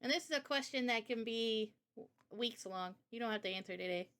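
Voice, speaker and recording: A woman's voice: on the high side, brisk, a bit bright. A woman hosting a casual livestream Q&A as a game developer, talking informally and directly to viewers.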